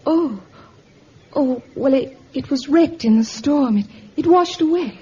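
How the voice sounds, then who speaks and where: A dreamy lonely teen girl, soft mellow voice, old hissy radio drama. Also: high-pitched, animated, measured pace. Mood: evasive, hesitant. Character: wistful seventeen-year-old girl living alone on island. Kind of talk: vintage radio drama acting. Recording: dull, hissy vintage radio recording.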